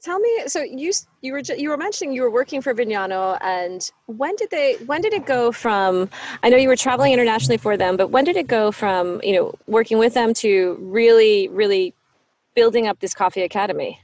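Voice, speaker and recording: A woman hosting a podcast interview, enthusiastic and halting, often restarting her sentences. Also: on the high side, animated, darkish tone. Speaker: podcast host.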